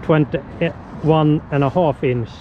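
A man's voice: fairly high pitch, fairly even intonation, background noise. A man in his thirties with a Swedish accent, hosting a casual outdoor vlog and talking to a camera held at arm's length. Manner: slow, cheerful, plain non-native English. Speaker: vlogger host. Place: outdoors by a lake. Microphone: action camera held at arm's length. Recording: dull outdoor camera audio.

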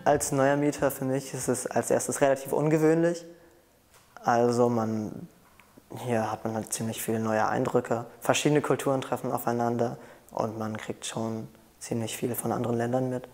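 A young man answering interview questions in a casual, relaxed way in a studio. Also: medium pitch, moderate pace, soft background music.

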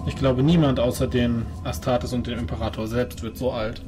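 A man's voice: mid-range voice, steady tone, normal speed. A man playing a tabletop role-playing game, speaking partly in character. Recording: clear background noise at a game table.

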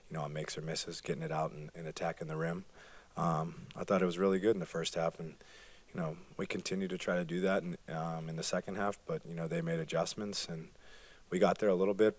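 A man in his thirties, a basketball player answering postgame questions at a press conference, soft-spoken and full of fillers. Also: low-pitched. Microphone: table microphone.

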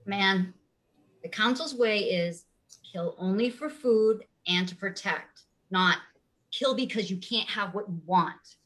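A woman playing a grave animal council member in a play reading, firm and deliberate. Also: mid-range voice, a bit bright, poor audio. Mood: challenging.

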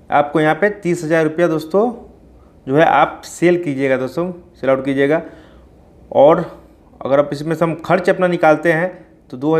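Higher-pitched man explaining informally. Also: a little mellow. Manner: repeatedly addresses listeners as friends. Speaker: poultry farming video host. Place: small plain indoor room.

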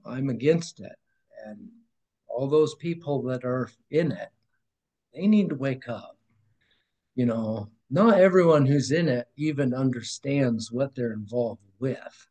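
A middle-aged man speaks over a webcam from a room at home, earnest and rambling as he tells his story. He often restarts his sentences and his voice falls suddenly quiet before coming back up. His pitch sits in a plain middle range. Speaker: podcast guest. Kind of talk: emotional personal testimony. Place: room at home with framed picture on wall. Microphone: video call webcam.

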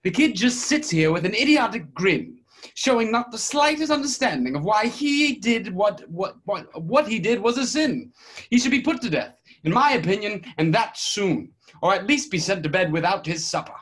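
Guy playing pompous king storyteller, really high male voice, declaiming rhymes for a play reading. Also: lively intonation, normal speed, a bit bright. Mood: indignant. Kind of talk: readers' theatre verse narration. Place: indoors at home on a video call.

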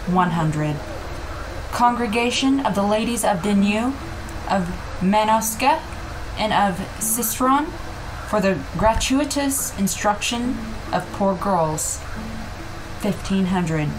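A woman reading a literary audiobook in a measured, phrase-by-phrase delivery. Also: medium pitch, poor audio. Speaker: audiobook reader. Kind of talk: scripted literary narration. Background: background music.

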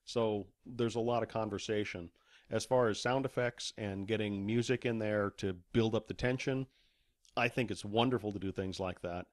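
A man's voice: fairly low pitch, fairly even intonation, normal speed, no echo, clean studio sound. A man speaking as an interview guest, an author chatting casually about his work. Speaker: podcast guest, author and audiobook narrator.

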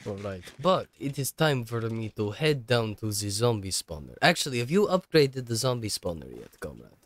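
A man's voice: medium pitch, very animated, moderate pace. A man chatting casually while playing a video game, talking in a jokey mock-comrade manner. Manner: jokey mock-communist talk, addressing others as comrade. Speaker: gaming streamer.

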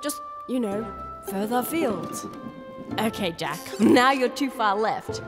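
An actress playing a young princess in an audio drama, light, offhand and flippant. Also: female voice, on the high side, animated, takes it slowly, big-room sound, over music. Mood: breezy.

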